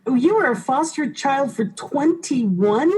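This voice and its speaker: A woman's voice: high-pitched, lively intonation, slow, darkish tone. A woman hosting a radio interview, encouraging her guest with open questions. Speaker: radio show host.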